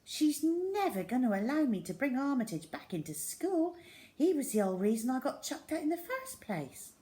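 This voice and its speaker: Storybook heroine: small, plucky schoolgirl, voiced by a woman, high-pitched, earnest, kind, with a lilting delivery. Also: big pitch swings, normal speed, warm tone. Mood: curious, keen. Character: plucky, kind little schoolgirl with a pet rat.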